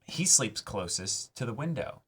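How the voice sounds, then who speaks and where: A man's voice: mid-range voice, big pitch swings, measured pace, a bit bright, slightly rough. A man co-hosting an anime reaction show, talking through his thoughts in a casual, emphatic, analytical way.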